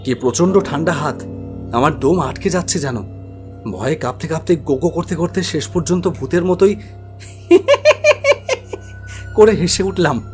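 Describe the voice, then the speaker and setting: A man's voice: on the high side, wildly swooping pitch, unhurried, long pauses, soft background music. Dramatic male storyteller. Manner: dramatic, suspenseful. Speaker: narrator. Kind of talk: scripted storytelling narration.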